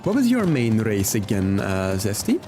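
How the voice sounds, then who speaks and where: A man's voice: lowish voice, wildly swooping pitch, moderate pace. Man chatting casually over game music. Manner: chatty, easygoing, reacts aloud to the game and chat. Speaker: game streamer. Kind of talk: casual livestream chat while playing. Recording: music behind the voice.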